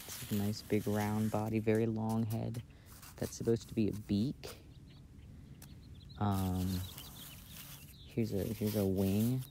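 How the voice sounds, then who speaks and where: A man playing an affectionate, playful boyfriend in a casual, intimate ASMR roleplay, speaking softly and hesitantly, recorded outdoors. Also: fairly low pitch, vocal fry. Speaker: amateur audio roleplay performer. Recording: outdoor ambient noise.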